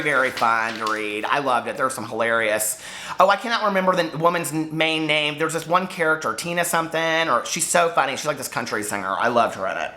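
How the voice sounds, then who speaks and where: A man in his forties chatting casually and excitably to viewers as a book vlogger, gushing and a little campy. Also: a little high. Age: 40s. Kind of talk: casual chat to viewers, book tag video.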